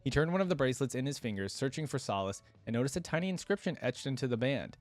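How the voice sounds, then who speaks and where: A man hosts a reaction session in a casual, slangy, enthusiastic way. His medium-pitched voice moves between reading aloud and offhand commentary, recorded dry with faint music behind it. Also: very animated, quick pace, no room sound. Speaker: reaction video host.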